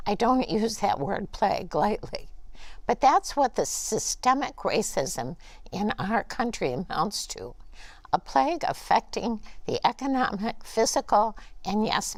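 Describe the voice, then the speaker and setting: Woman, conference host, scripted introduction, measured and earnest, gravelly edges, dry close sound. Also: mid-range voice, no room sound.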